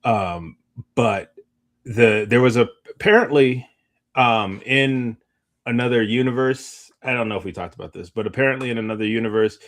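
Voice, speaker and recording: A man's voice: medium pitch, moderate pace. A man chatting casually about movies as a podcast co-host, rambling and often trailing off mid-thought.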